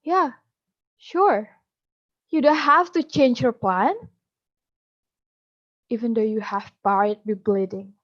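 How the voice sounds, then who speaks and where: Slow, high woman reciting poetry. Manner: slow, measured, bitter delivery. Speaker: poetry contestant. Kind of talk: spoken-word poetry recital. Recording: dull lo-fi recording.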